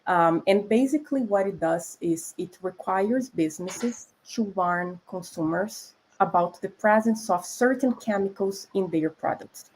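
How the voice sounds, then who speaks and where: Woman with a Brazilian accent, food scientist guest, clear explainer. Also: medium pitch, unhurried, few pauses, darkish tone, slight background noise, rough recording. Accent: Brazilian Portuguese. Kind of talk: explanatory conversation.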